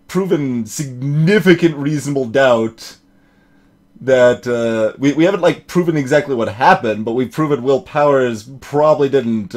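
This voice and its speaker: A man's voice: mid-range voice, a little mellow. Man rambling through casual gaming commentary. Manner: halting, rambling, amused. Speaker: let's play host. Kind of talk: casual gaming commentary with voiced dialogue reading.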